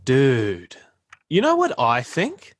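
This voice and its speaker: An actor in a radio play playing a laid-back young stoner philosopher who rambles and stumbles through slangy musings. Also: male voice, medium pitch, wildly swooping pitch, takes it slowly, no echo.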